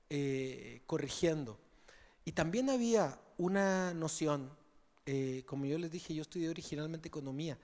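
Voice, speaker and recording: A man's voice: on the high side, a little mellow. Mexican man answering in an echoing hall. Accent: Mexican Spanish. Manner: conversational, tags phrases with "¿sí?" and fillers. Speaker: conference speaker. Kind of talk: conference Q&A answer. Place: large conference hall. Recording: reverberant conference hall.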